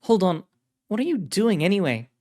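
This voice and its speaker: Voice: medium pitch, sing-song, normal speed, darkish tone, pitched above the performer's own voice, close to an everyday voice. A narrator voicing a wary, businesslike woman who works as a containment agent in an audio story, direct and skeptical. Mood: exasperated. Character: wary, businesslike female containment agent, exasperated but dutiful. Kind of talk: audio story character dialogue.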